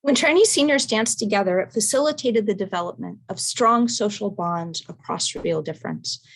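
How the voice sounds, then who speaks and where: A woman presenter reading a prepared research talk in a measured, careful way over a video call. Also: mid-range voice, vocal fry, husky, rough recording. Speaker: academic presenter.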